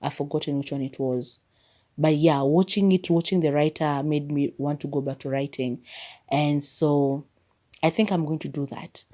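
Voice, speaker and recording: A woman's voice: low-pitched, fairly even intonation, moderate pace, dark tone. A woman with a Kenyan accent, whose first language is Maragoli, rambling in a chatty way through a casual solo podcast as its host. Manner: chatty and rambling, leans on "like" as filler.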